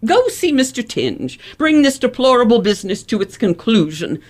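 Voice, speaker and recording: Radio play role: vain, haughty elocution madam, acted by a woman, very animated, formal delivery. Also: on the high side, normal speed. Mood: imperious, threatening. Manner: pompous, formal, emphatic enunciation. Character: proud, vain, imperious elocutionist lady, easily outraged. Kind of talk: old-fashioned radio play acting.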